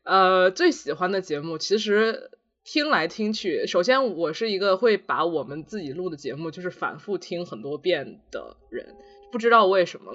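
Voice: mid-range voice, fairly bright tone, muffled recording. A podcast co-host chatting casually in a reflective, self-deprecating way. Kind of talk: casual two-person podcast chat.

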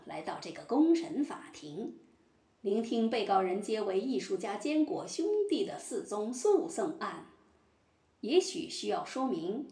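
Woman as solemn judge, slow measured delivery, mellow tone, formal audio drama, roomy echo. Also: medium pitch. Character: solemn female judge presiding over a mock trial.

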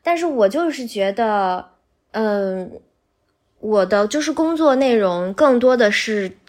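A woman chatting casually as a podcast guest, explaining things in an animated way. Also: fairly high pitch, slow pace.

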